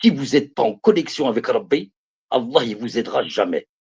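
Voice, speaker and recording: Man, 50s, husky, lively pitch; emphatic live-stream preacher who repeats himself. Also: a little fast. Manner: emphatic, repeats phrases, calls listeners brothers and sisters. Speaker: live streamer giving religious advice.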